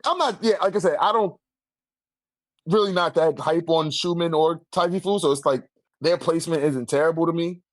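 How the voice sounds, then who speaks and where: A man's voice: a little high, moderate pace, no echo. A man in a casual debate, speaking informally with heavy slang and swearing. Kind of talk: casual debate about manga character rankings.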